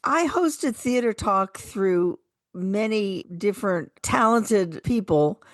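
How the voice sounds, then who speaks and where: Casting: woman as radio co-host, conversational, expressive intonation, close dry sound. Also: medium pitch, slow, no echo. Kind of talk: casual radio chat with some program introductions.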